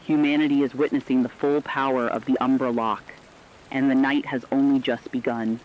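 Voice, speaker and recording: A man playing a grave radio news reporter, delivering a formal, measured emergency bulletin in a scripted drama. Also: medium pitch, little pitch movement, muffled recording, slight background noise, natural voice. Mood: grave, urgent.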